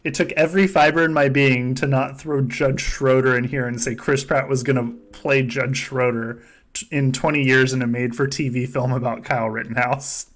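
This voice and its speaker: A man with a medium-pitched voice hosts a casual game podcast. He talks in a joking, sweary way and laughs often as he chats with his friends. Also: steady tone, moderate pace. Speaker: podcast host. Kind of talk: casual chat running a simulated reality-show game.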